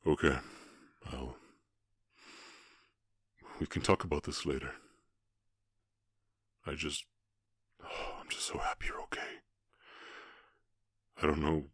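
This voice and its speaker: A man voicing a gruff but tender half-orc cowboy in an ASMR roleplay, ashamed and protective, speaking haltingly with feeling and often dropping to a murmur. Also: very deep voice, steady tone, a bit bright, no room sound, clean studio sound, heavily acted. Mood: shaken, relieved.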